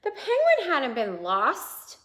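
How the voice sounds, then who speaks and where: High-pitched, very expressive woman reading a storybook. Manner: expressive, pausing to point out pictures to young listeners. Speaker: picture book reader for children. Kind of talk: children's read-aloud storytelling. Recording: roomy, echoing indoor sound.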